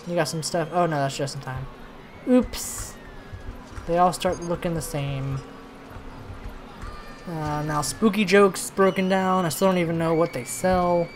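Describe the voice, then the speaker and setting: A man doing casual let's-play commentary, thinking aloud in a rambling way, with a slightly hoarse voice. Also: on the high side, steady tone, unhurried, pauses often. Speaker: host of a gaming channel. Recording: noisy recording with background ambience.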